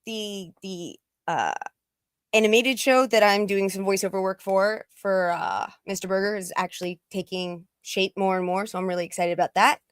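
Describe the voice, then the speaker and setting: A woman's voice: mid-range voice, steady tone, moderate pace, smooth, dry recording. A woman panelist chatting casually on a podcast, laughing often as she talks, her voice still recovering from being lost. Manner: laughs often while talking. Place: home setup, California.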